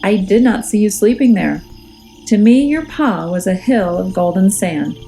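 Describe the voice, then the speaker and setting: A woman voicing a tiny, meek male storybook mouse, squeaky, polite and pleading. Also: lively intonation, measured pace, mellow, faint music behind.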